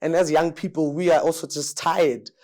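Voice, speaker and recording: A South African man around thirty speaks fluently and confidently about himself. His voice is somewhat high but warm and mellow, and his tone stays relaxed and conversational. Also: normal speed. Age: 30s. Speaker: mayoral candidate.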